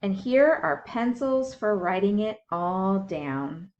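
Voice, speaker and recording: A woman's voice: medium pitch, lively intonation, takes it slowly, a little mellow, highs cut off, slight background noise. A woman teacher reading a science book aloud for young children, explaining things simply and putting questions to her listeners. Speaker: school teacher.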